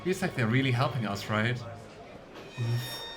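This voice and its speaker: A man's voice: medium pitch, expressive, unhurried. A man casually commenting on a game he is playing, joking and rambling. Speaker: let's play host. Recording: with game music behind.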